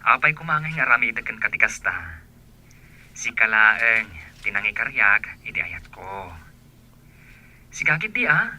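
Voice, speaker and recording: An actor playing a sweet-talking, evasive young boyfriend in a radio drama, with a coaxing manner. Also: male voice, fairly low pitch, big pitch swings, a little slow, long pauses, sharp tone, heavily acted. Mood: sweet, teasing.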